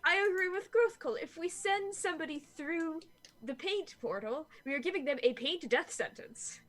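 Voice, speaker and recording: High-voiced woman steering the group. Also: fairly bright tone. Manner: brisk, organizing, steering the group. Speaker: tabletop player in character. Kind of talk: casual roleplay chat.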